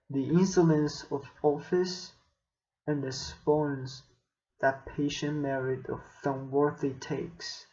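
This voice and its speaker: A man performing a melancholy, world-weary young prince in a Shakespearean soliloquy, with measured, pensive phrasing. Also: on the high side, mellow, highs cut off.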